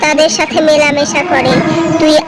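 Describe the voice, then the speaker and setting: A woman's voice: high-pitched, level tone, normal speed, close to an everyday voice. A woman voicing a jealous, vengeful ghost queen in a children's cartoon, with a brash, menacing way of talking. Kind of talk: Bengali children's cartoon dialogue. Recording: loud music under the voice.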